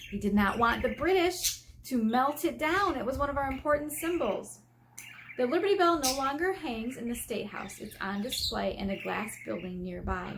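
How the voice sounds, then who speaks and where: A woman's voice: a little high, a bit bright, slight background noise. An American woman, an elementary teacher, reading a children's book aloud and explaining it in simple, instructive terms.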